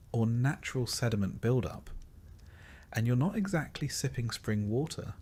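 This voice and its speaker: A man reading a scripted documentary-style narration in a measured, dramatic storytelling manner with wry, dry asides. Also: medium pitch, a little mellow, breathy edge. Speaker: narrator of history sleep stories.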